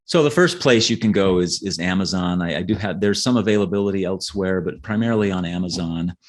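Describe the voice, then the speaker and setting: A man's voice: low-pitched, fast talker. A middle-aged man chatting earnestly as an interview guest, often repeating words and checking in with "right?". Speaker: author guest. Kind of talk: casual interview chat.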